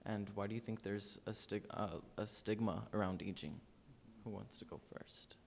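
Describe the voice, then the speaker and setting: A man's voice: steady tone, normal speed, vocal fry, a little mellow. Nineteen-year-old man hosting a podcast. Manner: friendly, loose, many fillers. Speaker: podcast host. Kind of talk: casual student podcast chat. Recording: long reverb, faint rumble.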